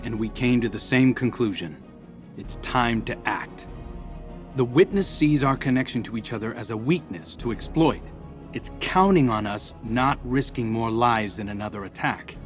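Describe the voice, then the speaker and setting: A man's voice: medium pitch, lightly acted. An actor playing an earnest, resolute male soldier rallying an ally in a scripted game briefing.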